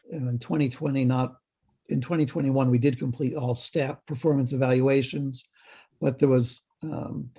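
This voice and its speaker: A man's voice: medium pitch, vocal fry, mellow, slightly rough. Hesitant man on a video call. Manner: halting, many ums and restarts. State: slightly hoarse. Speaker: health department staff presenter. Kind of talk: committee meeting update.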